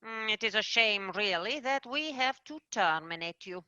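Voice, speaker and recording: A woman playing a formal, measured manager of tooth fairies, in an amateur radio play. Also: clear, resonant tone, no room sound, highs cut off. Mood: formal, brisk. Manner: formal, measured, lively pitch. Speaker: manager firing an agent.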